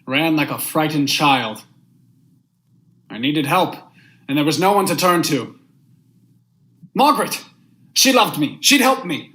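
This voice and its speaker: High-voiced, melodramatic haunted judge played by a young man. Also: animated, a little slow, long pauses, pushed and bright. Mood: panicked narration. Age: young adult. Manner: melodramatic, stammering when rattled. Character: panicked, guilt-haunted judge, murderer narrating his own downfall. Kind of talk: vintage radio thriller acting and narration.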